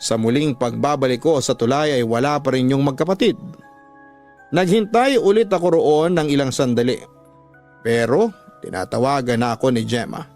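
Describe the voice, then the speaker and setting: A man's voice: mid-range voice, moderate pace, a little mellow. Male radio drama narrator over music. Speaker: radio drama narrator reading a letter-sender's story. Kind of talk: dramatic first-person scripted narration. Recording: music bed under the voice.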